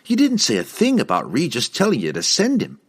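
A man voicing a firm, sharp-tongued young woman in an audiobook, assertive and rustic in her speech. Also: a little high, wildly swooping pitch, brisk, breathy edge, voice pushed up, exaggerated voice. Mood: challenging.

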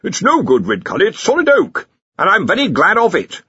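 A man's voice: sits high, wildly swooping pitch, brisk, bright, forceful tone, breathy edge, dry recording, muffled recording, voice pushed up, exaggerated voice. A man voicing a dismissive older wizard dean who scoffs and complains firmly, in a storybook reading. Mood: grumbling.

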